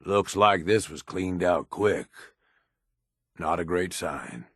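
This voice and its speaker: A deep, close and dry male voice for a video game: a gruff middle-aged raider who serves as the boss's right hand. He talks bluntly and pragmatically, worn down by years of experience, in loose, profane slang. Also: animated, takes it slowly, a bit bright, dry recording, exaggerated voice. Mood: wary. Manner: blunt, world-weary, drawling slang and profanity. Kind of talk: video game character dialogue.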